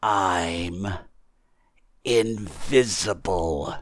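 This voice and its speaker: A man's voice: low-pitched, drawn-out words, dry recording, studio quality. A man voicing an impatient invisible man in a storybook, commanding and menacing, with an emphatic, controlled manner. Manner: insistent, emphatic, self-controlled. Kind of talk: acted audiobook storytelling.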